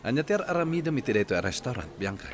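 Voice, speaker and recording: Guy acting filipino radio drama boyfriend, fed up n defensive, animated mid voice, some noise behind. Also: medium pitch, rapid-fire, lightly acted. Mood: embarrassed, curt. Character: Rolly, fed-up, evasive, spendthrift young boyfriend.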